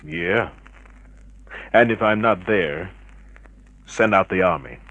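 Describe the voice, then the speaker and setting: An actor playing a cynical, unflappable private detective, terse and dry, in vintage radio drama style. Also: male voice, low voice, slow, lo-fi sound, heavily acted. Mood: wry, flirtatious. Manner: terse, hard-boiled, dry. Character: cynical, unflappable male private detective narrating his case.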